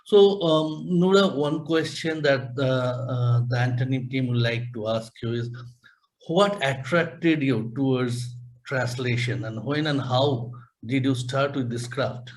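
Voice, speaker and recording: Halting middle-aged man interviewing. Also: mid-range voice, rough recording. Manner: halting, lots of ums and repeated words. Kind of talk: video call interview. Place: small indoor room. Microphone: webcam on video call.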